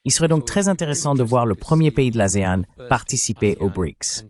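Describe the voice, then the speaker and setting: A man's voice: medium pitch, lively intonation, brisk, a little mellow. A man delivering a French voice-over translation of an interview, speaking as an interpreter for the participants.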